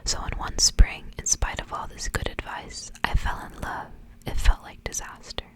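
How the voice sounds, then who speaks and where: A woman reading a literary story aloud in a breathy, hushed whisper, in the gentle narrating style of an ASMR reading. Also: moderate pace.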